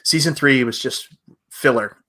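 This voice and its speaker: A man with a bright, forward voice co-hosts a casual livestream. His delivery is wry, with a deadpan way of joking. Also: medium pitch, measured pace, rough recording. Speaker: co-host.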